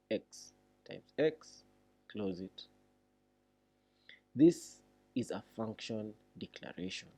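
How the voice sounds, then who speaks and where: A man giving a read-along programming tutorial, reading deliberately and thinking aloud as he explains. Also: lowish voice, dark tone, slightly rough.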